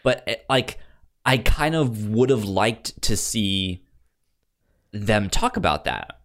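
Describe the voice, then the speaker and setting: A man co-hosting a film podcast in casual chat, with a halting delivery that often repeats and restarts words. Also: fairly low pitch, animated, fairly bright tone. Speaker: podcast co-host. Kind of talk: casual chat about films.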